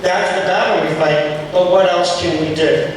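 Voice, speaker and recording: A hoarse man giving an impassioned speech at a political group meeting, rallying the room and asking it questions. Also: sits high, steady tone, normal speed. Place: meeting hall. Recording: echoey hall from far off, noisy.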